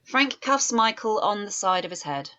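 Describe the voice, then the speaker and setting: A woman narrating stage directions in a script table read, measured and descriptive. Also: mid-range voice, lively intonation, a bit bright, lo-fi sound.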